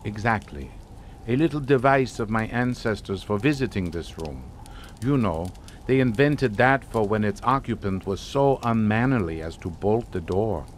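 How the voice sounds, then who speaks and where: Male actor for a courtly, menacing count in radio suspense; formal, deliberate, richly varied melody. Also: fairly low pitch, lively intonation, slight background noise, natural voice. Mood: amused, urbane. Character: suave, sinister aristocratic Hungarian count and uncle. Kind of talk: radio suspense drama acting.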